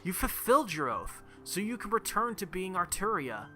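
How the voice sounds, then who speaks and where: A man's voice: sits high, big pitch swings, moderate pace, pushed and bright, soft background music, pitched above the performer's own voice, heavily acted. A man voicing an earnest, stubborn, lovestruck teenage boy hero in a visual novel, speaking insistently. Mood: passionate, insistent. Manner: insistent, heartfelt.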